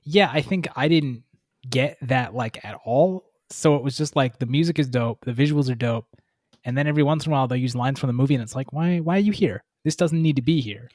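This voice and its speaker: A man co-hosting a casual movie review podcast, relaxed and storytelling. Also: medium pitch, brisk, dry recording, clean studio sound. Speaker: podcast co-host.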